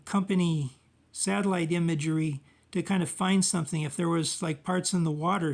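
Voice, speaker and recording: A man talking informally through his own research in a halting, rambling way, often trailing off and searching for words. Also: on the high side, gravelly. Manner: halting, hesitant, rambling with frequent trailing phrases. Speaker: amateur researcher presenting own video. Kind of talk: informal monologue recounting research.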